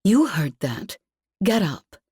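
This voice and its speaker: A woman's voice: on the low side, sing-song, slow pace, mellow, husky, no echo, studio quality, voice pushed up, exaggerated voice. A woman voicing a flustered, bossy woman with a curt, stiffly dignified manner in an audiobook adventure. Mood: snappish. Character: flustered disguised woman snapping bossily at underlings.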